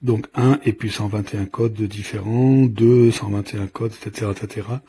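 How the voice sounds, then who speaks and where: A man's voice: fairly low pitch, fairly even intonation, normal speed, mellow, breathy edge. A man giving an informal hands-on product demonstration, explaining in a hesitant, improvised way as he goes. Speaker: product reviewer.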